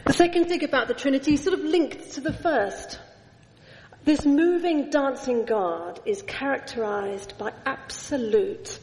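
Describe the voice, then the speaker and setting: A middle-aged woman delivers a sermon at a slow, deliberate pace. Her fairly high voice builds slow, emphatic lists and rings out through a large church with a long echo. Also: gravelly, darkish tone, a little hiss or hum. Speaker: preacher. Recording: echoey church hall.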